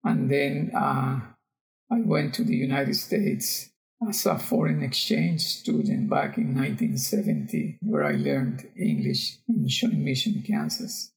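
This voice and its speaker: An older man with a Costa Rican accent answers interview questions conversationally, pausing and searching for words. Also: mid-range voice, lively intonation, creaky, rough recording. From Costa Rica. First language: Spanish. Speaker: geography professor, podcast guest.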